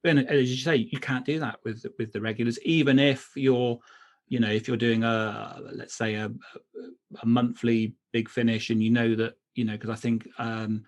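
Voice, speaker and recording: A man's voice: medium pitch, flat delivery, normal speed. A middle-aged man, a writer being interviewed, talking casually about his craft and often circling back to rephrase.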